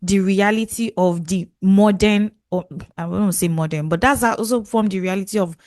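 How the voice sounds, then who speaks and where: A woman's voice: mid-range voice, normal speed, no echo, clean studio sound. A woman from southwest Nigeria with a Nigerian English accent, co-hosting a casual podcast and stacking up phrases as she talks. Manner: animated, self-correcting, stacks up phrases. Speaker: podcast co-host.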